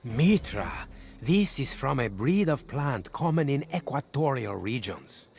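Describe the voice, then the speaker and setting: An actor playing a pious, learned herbalist in a video game, explaining things in a deliberate, scholarly way. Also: male voice, mid-range voice, big pitch swings, creaky, a little mellow, a little hiss or hum. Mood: scholarly, intrigued. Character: learned herbalist healer, pious, earnest and cautious.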